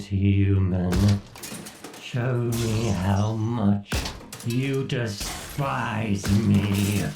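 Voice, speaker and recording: A man playing an obsessive, sadistic AI god in a horror ASMR roleplay, with theatrical, menacing and condescending delivery in drawn-out, deliberate phrases. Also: mid-range voice, echoey room, distant mic. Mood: goading. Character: obsessive, sadistic male AI god villain, gloating and hateful.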